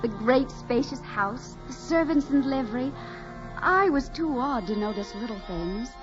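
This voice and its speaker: High-voiced, naive farm girl. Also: expressive, a little slow, music in the background. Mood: wistful remembering. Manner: wistful, earnest, gushing. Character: naive, eager young farm woman, dreamy and breathlessly excited. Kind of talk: 1940s radio acting, dramatic first-person narration. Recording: muffled 1940s radio recording.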